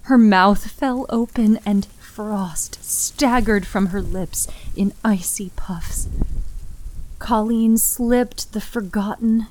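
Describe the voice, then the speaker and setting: A woman narrates a horror story very slowly and gravely, at a medium pitch. Her delivery is dramatic and deliberate, with breathy emphasis that often sinks almost to a whisper. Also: a little mellow, rough recording.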